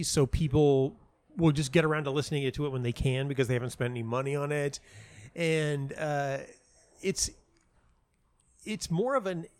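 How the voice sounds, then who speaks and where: A man with a medium-pitched voice speaks as a guest in a casual interview. He tells anecdotes with emphasis, acting out bits of dialogue and circling back over phrases to stress them. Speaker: interview guest, playwright and audio dramatist.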